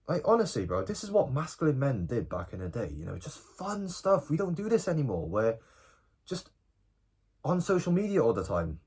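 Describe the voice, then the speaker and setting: Brief: male, 18, casual vlogger, lively intonation, slightly husky tone, informal and direct. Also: medium pitch. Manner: animated, informal, often tagging lines with "bro" and "okay?". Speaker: self-improvement vlogger. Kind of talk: casual direct-to-camera vlog.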